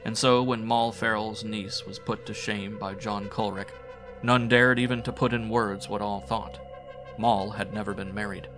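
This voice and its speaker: A man's voice: fairly low pitch, low-quality recording. A man narrating a horror audiobook in a theatrical, brooding, old-fashioned storytelling manner. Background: music.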